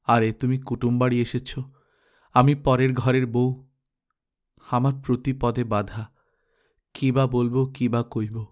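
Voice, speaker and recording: A man's voice: mid-range voice, level tone, slow pace, long pauses, a little mellow, natural voice. A man voicing an emotional married daughter in a storybook, devoted and outspoken, with a pleading, effusive manner. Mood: plaintive.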